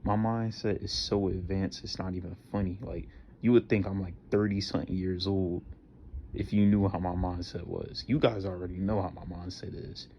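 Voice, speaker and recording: A man's voice: low voice, flat delivery, normal speed, slight background noise. Earnest young man, lo-fi solo podcast. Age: 20s. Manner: earnest, repetitive, slangy self-talk with many fillers. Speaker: podcast host.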